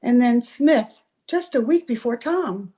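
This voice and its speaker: A woman playing high-pitched young sweetheart, anxious, earnest, melodramatic, old-time radio drama style. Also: lively intonation, a little slow, warm tone. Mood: earnest, troubled. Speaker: amateur radio play actor. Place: home, over a video call.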